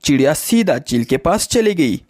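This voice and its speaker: High-pitched male children's storyteller. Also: sing-song, moderate pace, a little mellow, no room sound, studio quality. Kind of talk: scripted children's story narration.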